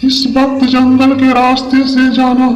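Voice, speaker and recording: A man voicing an old village man who gives slow, ominous warnings in a children's ghost story. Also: very high voice, monotone, reverberant, voice pushed up. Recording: distant voice over music.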